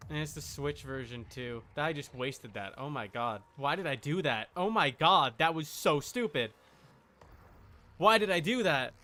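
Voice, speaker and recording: A man's voice: fairly high pitch, expressive, unhurried, bright, forceful tone. A man giving casual live gameplay commentary, muttering to himself as he plays. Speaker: video game streamer.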